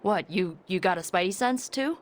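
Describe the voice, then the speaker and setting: An actress playing an informal, chatty and slightly awkward young sorceress in a video game. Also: female voice, mid-range voice, animated, moderate pace, close to an everyday voice. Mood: sheepish. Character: casual, eager young sorceress, a bit awkward. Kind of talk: video game cutscene acting.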